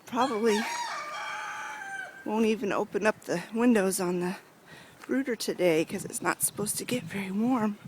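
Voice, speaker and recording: A woman with a raspy, hoarse voice from sinus trouble chats her way through a home vlog, rambling and restarting as she goes. Her pitch sits in an ordinary middle range. Steady background noise and animal sounds come through on the handheld camera. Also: moderate pace, pauses often, roomy sound, distant mic. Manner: chatty, rambling, frequent ums and restarts. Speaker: vlogger. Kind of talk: casual rambling vlog chat. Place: home and outdoor animal pens. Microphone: handheld camera, self-filmed.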